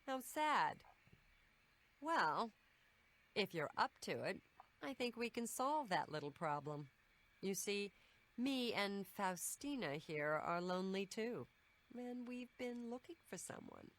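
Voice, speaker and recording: An actress playing a sultry, coy Nordic seductress in a video game, coaxing and flirtatious in a deliberate way. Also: female voice, mid-range voice, animated, a bit bright. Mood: coy, seductive. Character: sultry Nord temptress luring a man, coy. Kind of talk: video game character dialogue.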